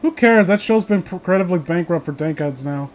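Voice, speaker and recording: A man's voice: sits high, expressive, fairly quick, a little hiss or hum. A man chatting casually with friends in a rambling, opinionated, sweary way. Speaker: host of a reading show.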